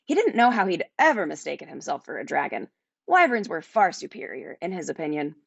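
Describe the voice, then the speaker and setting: A woman narrating a children's fantasy book aloud as its author, in an expressive storytelling style. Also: on the low side, a little fast, creaky, slightly rough, no echo, highs cut off. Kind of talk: animated children's audiobook narration.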